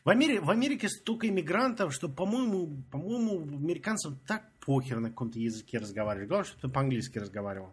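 A man's voice: a little high, sing-song, fast, few pauses, husky. A man co-hosting a casual podcast, laughing easily and restarting his sentences. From Russian-speaking immigrant in America. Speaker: podcast co-host. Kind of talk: casual chat.

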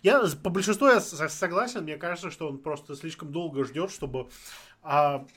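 Guy chatting with friends, kinda high voice, restarts his words a lot. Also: moderate pace, husky. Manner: animated, restarts words, thinks aloud. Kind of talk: casual chat.

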